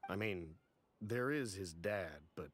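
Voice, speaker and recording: An actor playing a kindly, considerate older man in a video game, speaking in a measured, avuncular way. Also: low-pitched, lively intonation, a little hiss or hum. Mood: fond. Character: kindly older uncle at a transport agency, fond, considerate.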